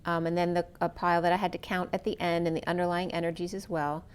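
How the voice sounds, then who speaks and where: A middle-aged woman with a lowish voice reads tarot cards for her viewers. She talks in a slow, dreamy, free-associating way. Her voice sounds a little worn, as if she is starting to lose it. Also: level tone, clean tone. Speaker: tarot reader. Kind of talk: spiritual tarot reading monologue.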